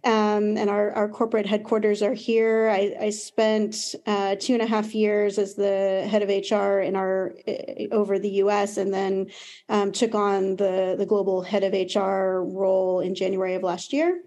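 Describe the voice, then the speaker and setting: American woman, hr exec chatting on a panel, warm dark relaxed tone. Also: medium pitch, normal speed. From United States. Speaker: panelist, corporate HR executive. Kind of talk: conversational panel discussion.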